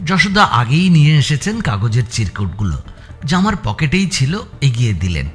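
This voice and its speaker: A man's voice: medium pitch, big pitch swings, normal speed. A man reading scripted story narration as an audiobook narrator.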